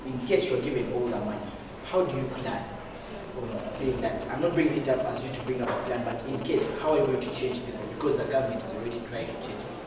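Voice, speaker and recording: A man's voice: medium pitch, fast, background noise. A teenage boy from Uganda putting pointed, challenging questions to an opponent during a debate. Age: teens. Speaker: student debater asking questions. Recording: echoey hall, picked up from far off.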